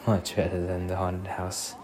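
A man acting as a devoted young boyfriend speaks in a very low, soft voice. His delivery is intimate and unhurried, protective and tender, in the style of an ASMR date roleplay. Clear ambient noise sits behind the voice. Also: monotone, lightly acted. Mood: tender, wistful. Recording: clearly noisy recording with ambient sound.

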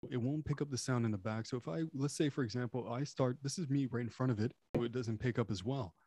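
A man's voice: mid-range voice, fast, warm tone, dry recording. A man whose first language is French, hosting a podcast over a remote call. He jokes around and explains microphones at length into a dynamic mic. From Canada. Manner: joking, explains gear at length. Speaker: podcast host. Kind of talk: casual interview chat.

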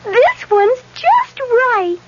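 A perky grown-up storybook girl pitching in a radio commercial. Her voice is extremely high and leaps all over. The sound is a dull, lo-fi 1970s recording. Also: wildly swooping pitch, drawn-out words, a bit bright, slight background noise, heavily acted. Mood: perky, delighted. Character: grown-up Goldilocks, perky diet drink taste tester.

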